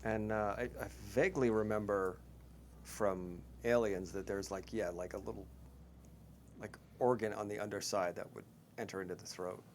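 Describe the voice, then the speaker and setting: A man's voice: lowish voice, fairly even intonation, normal speed. A man chatting casually during a tabletop game, hedging as he loosely recalls details. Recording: faint background noise.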